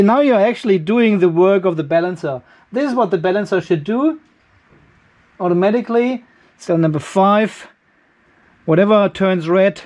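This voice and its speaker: A man's voice: sits high, darkish tone. A middle-aged man giving a casual hands-on demonstration, chatty and informal, thinking aloud as he works. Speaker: host of a DIY off-grid battery channel. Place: garage workshop.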